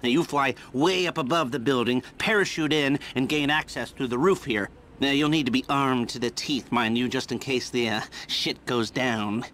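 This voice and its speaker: A man acts out a middle-aged criminal planner in a video game. He is nervy and sarcastic, explaining in excitable, halting bursts. His voice is sharp and bright, with faint noise behind it. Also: mid-range voice, close to an everyday voice. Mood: businesslike, wry. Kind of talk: video game cutscene acting.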